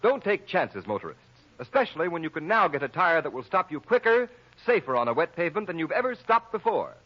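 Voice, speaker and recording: A man's voice: on the high side, sing-song, fairly quick. A man announcing a commercial in an emphatic, declamatory 1930s radio style. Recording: muffled 1930s radio recording.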